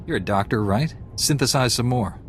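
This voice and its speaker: An actor playing a calm, principled, resolute heroic knight in a video game, earnest and firm, with music behind. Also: male voice, mid-range voice, normal speed, natural voice.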